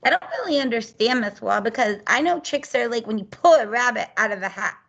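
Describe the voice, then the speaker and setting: A woman's voice: a little high, expressive, fairly quick, creaky, fairly bright tone, exaggerated voice. A woman playing a curious, eager young pupil in a reading lesson, making simple guesses and asking questions, on a dull lo-fi recording. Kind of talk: reading lesson role-play.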